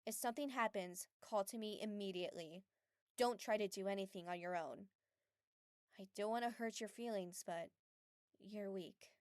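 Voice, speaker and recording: A woman's voice: mid-range voice, normal speed, no room sound, lightly acted. Woman as an earnest young mage girl, dry recording. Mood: guarded, stern. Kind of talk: anime fan dub acting.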